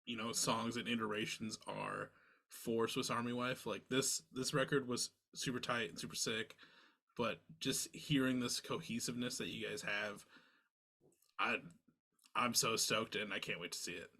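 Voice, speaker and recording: A man's voice: medium pitch, steady tone, moderate pace, creaky, bright, forceful tone. A man hosting a podcast, chatting with a band in an eager, filler-heavy way. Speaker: podcast host. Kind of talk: casual interview chat.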